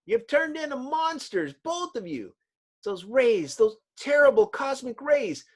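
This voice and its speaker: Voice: sits high, sing-song, measured pace, pitched above the performer's own voice, exaggerated voice. A performer voicing an anxious, tender young woman who speaks in a breathless, pleading, melodramatic way in a comic-book drama. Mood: horrified, pleading.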